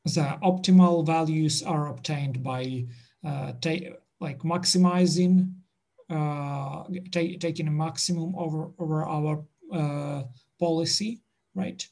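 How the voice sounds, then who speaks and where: A man presenting to a study group over a video call, pausing often with filler sounds as he works through the slides. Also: on the high side, fairly even intonation, gravelly, darkish tone. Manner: halting, many filler sounds, slow and deliberate.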